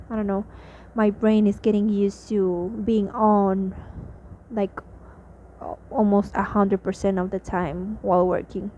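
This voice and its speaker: A woman's voice: medium pitch, mellow. Tired young woman with a Mexican accent. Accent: Mexican Spanish. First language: Spanish. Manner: rambling, hesitant, many restarts and fillers. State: tired, drained. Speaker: vlogger. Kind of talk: casual spoken diary. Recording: slightly dull lo-fi audio with faint noise.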